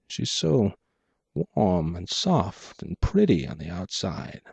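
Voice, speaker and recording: A man voicing a theatrical, gloating clockwork gangster boss with taunting, drawn-out phrasing in a noir audiobook. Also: deep voice, very animated, gravelly, darkish tone, slightly rough, no echo, heavily acted. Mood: smug, magnanimous. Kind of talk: dramatic audiobook character voice, noir pulp.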